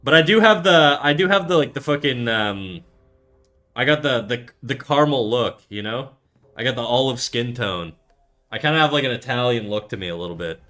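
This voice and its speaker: A young Canadian man with a bright, forward voice rambles through a laid-back streaming chat, tripping over his words and swearing freely. Soft music sits faintly behind him. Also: mid-range voice, expressive, normal speed. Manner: rambling, swears often, many fillers and restarts. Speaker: art streamer. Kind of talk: casual livestream chat reading chat aloud.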